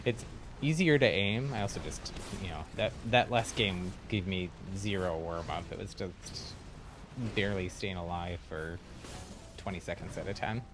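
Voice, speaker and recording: Low-voiced man chatting on a game stream. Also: normal speed, noisy background. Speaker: live streamer. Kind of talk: casual game livestream chat.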